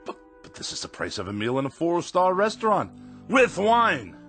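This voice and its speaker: An actor playing a haughty, indignant middle-aged misanthrope with ornate, theatrical diction in a radio drama. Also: male voice, fairly high pitch, wildly swooping pitch, moderate pace, a bit bright, faint music behind, exaggerated voice. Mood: sarcastic, exasperated. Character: pompous, irascible middle-aged misanthropic writer.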